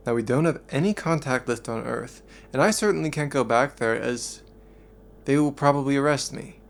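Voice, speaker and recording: A man's voice: mid-range voice, creaky, close to an everyday voice. Hesitant, downhearted young man, Phil, acted by a man. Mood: hesitant, downcast. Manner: hesitant, halting delivery. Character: Phil, despondent earnest young man, unsure of himself. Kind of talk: audio drama acting.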